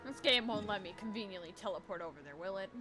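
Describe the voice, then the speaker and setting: A woman streamer talks casually over a video game with clear music behind her. Her voice is mid-pitched, and her manner is blunt, exasperated and full of swearing. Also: quick pace. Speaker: gaming streamer. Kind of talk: casual gameplay commentary.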